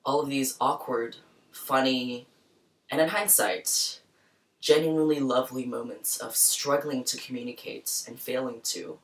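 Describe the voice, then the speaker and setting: Thoughtful young woman, distant mic. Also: a bass voice. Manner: soft, thoughtful, personal. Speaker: program host. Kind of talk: reflective spoken introduction. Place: small bright room with plants, indoors.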